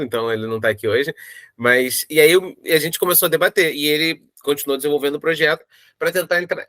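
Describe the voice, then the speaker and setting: A man's voice: on the high side, pushed and bright, no room sound, studio quality. A man, explaining a creative project casually in an interview, rambling and repeating himself.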